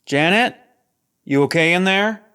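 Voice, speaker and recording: Supportive sci-fi crewman, lightly raised pitch, apologetic and soothing. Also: measured pace, pushed and bright, clear, resonant tone. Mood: concerned, reassuring. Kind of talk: audio drama acting.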